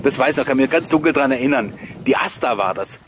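A man's voice: medium pitch, lively intonation, fast talker, background noise. A man hosting a nostalgic video with chatty, rambling voice-over commentary and personal anecdotes, often chuckling as he goes. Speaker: host of a collectors' nostalgia video channel.

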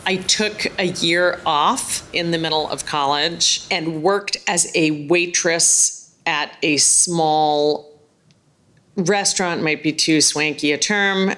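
A middle-aged woman, a language professor, speaking in a thoughtful, measured way in an office. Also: low-pitched, a bit bright. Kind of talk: interview reflection. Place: office indoors. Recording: music under voice. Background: music.

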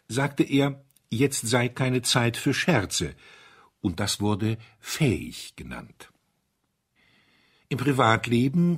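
A man narrating a literary audiobook in a measured, expressive storytelling manner. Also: mid-range voice, slightly rough.

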